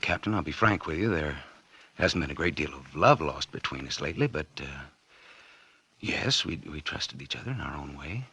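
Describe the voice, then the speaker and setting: A man's voice: rumbling low, lively intonation, moderate pace, bright, forceful tone, slightly rough, dry recording, exaggerated voice. An actor playing a cold-blooded, scheming businessman in 1940s radio noir style, wry, calculating and dramatic. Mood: feigned surprise. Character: scheming cold-blooded businessman narrating his crime, feigning innocence. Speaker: actor narrating and playing the lead. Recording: vintage 1940s radio recording with music.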